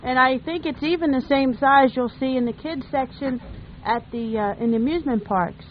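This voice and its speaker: A woman's voice: high voice, moderate pace. An American woman co-hosting a radio show in casual, joking chat. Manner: joking, jovial. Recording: thin, noisy phone-like sound.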